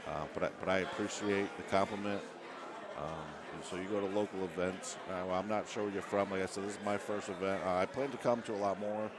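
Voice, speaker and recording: A man casually commentating a live tournament stream on camera, relaxed and self-deprecating, often restarting his sentences. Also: sits low, quick pace. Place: busy tournament venue full of players. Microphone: on camera at the event. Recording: loud crowd chatter behind.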